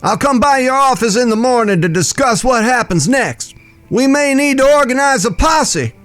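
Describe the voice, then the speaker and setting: An actor playing a knowing gunfighter with an in an audio drama. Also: male voice, very high voice, lively intonation, normal speed, fairly bright tone, no room sound, soft background music. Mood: assured. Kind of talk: Western audio drama acting.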